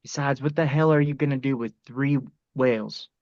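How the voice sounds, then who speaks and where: A man's voice: medium pitch, moderate pace, darkish tone, muffled recording, natural voice. A man acting a shrewd oilman in his fifties in a script read, blunt and assured. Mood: brisk, blunt. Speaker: actor.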